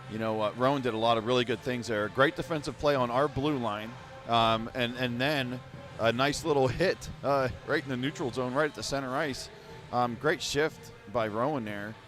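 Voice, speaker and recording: A man's voice: medium pitch, a bit bright. A man giving casual, rambling color commentary at a youth hockey game from the stands. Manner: rambling, restarts, laid-back analysis. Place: rink stands.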